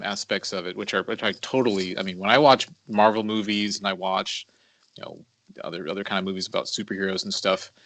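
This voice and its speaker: Guy in his 30s, podcast cohost, reflective n confessional, halting, repeats himself for emphasis, very dry sound. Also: fairly low pitch, a bit bright, no echo. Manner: halting, deliberate delivery with emphatic repetition. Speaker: podcast co-host.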